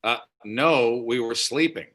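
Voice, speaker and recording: A man's voice: mid-range voice, a little slow, a bit bright, close to an everyday voice. A man playing a blunt, weary middle-aged father in a comedy table read. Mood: groggy, blunt.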